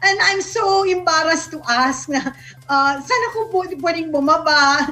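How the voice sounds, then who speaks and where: A woman's voice: extremely high pitch, normal speed, bright, forceful tone. A woman with a Filipino accent chatting casually as a guest on a panel. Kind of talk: casual chat in mixed Tagalog and English. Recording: music behind the voice. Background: background music.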